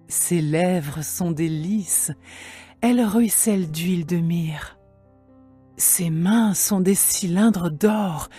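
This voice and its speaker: A woman playing a lovesick young woman longing for her beloved, in a measured, poetic dramatized scripture reading. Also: lowish voice, dark tone, breathy edge, heavily acted.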